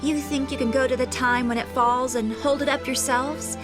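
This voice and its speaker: A woman's voice: high-pitched, steady tone, moderate pace, lightly acted. An actress playing a skeptical, challenging young woman in a video game cutscene. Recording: loud music behind.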